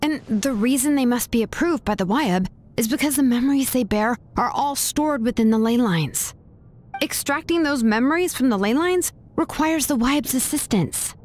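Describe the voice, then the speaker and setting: A woman's voice: sits high, lively intonation, moderate pace, a little mellow. A woman playing a shrewd young craftswoman in a video game, composed, analytical and skeptical. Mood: knowing, probing.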